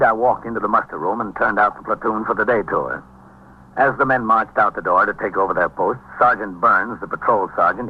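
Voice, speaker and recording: An actor playing a world-weary, commanding police captain who narrates tersely in a hard-boiled 1950s radio drama. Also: male voice, fairly low pitch, slightly rough. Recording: muffled 1950s radio recording.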